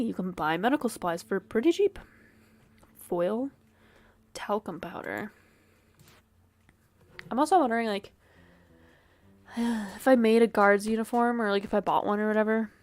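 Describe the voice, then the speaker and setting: A woman's voice: mid-range voice, a little mellow. A woman streamer with a sniffly, dry throat, casually thinking aloud and swearing while she plays a game. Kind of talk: casual game livestream commentary.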